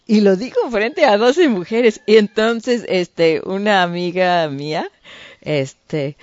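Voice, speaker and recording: Mexican woman preacher, unhurried earnest sermon delivery, dry muffled recording. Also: medium pitch, a little mellow, no echo. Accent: Mexican Spanish. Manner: earnest, clears throat, filler "este". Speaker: women's ministry speaker. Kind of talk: sermon to a women's group.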